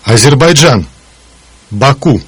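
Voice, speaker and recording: A man speaking single words slowly and deliberately as a model for learners. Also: medium pitch, lively intonation, breathy edge, no room sound. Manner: deliberate, articulated word by word. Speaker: native speaker on a language course recording. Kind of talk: slow, careful model pronunciation.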